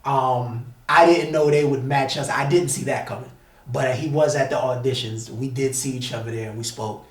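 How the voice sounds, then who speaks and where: A man's voice: medium pitch, steady tone, moderate pace. A man from the United States telling a story casually as an interview guest, with slangy, emphatic and repetitive phrasing. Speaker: interview guest, battle rapper. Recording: echoey room, distant mic.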